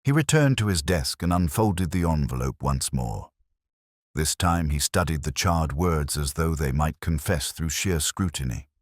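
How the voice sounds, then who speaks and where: Very low-pitched man, lively intonation, measured scripted storytelling, dry close sound. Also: dry recording. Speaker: audiobook narrator. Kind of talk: scripted narration of a period detective story.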